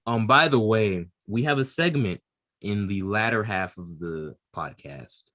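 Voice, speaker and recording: A man co-hosting a casual podcast, lively and loaded with fillers. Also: lowish voice, a little slow, no echo. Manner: lively, hesitant with many fillers. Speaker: podcast co-host. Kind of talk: casual chat.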